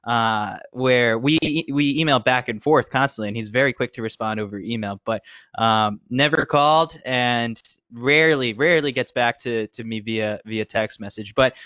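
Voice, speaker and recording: Smooth-voiced man chatting on a podcast. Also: mid-range voice, normal speed, no echo. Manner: relaxed, rambling with many fillers and restarts. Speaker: podcast guest. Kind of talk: casual podcast chat.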